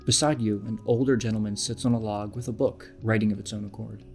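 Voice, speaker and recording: A man's voice: lowish voice, fairly even intonation, fast, vocal fry, soft background music. A man delivering scripted narration and promotion as a podcast storyteller.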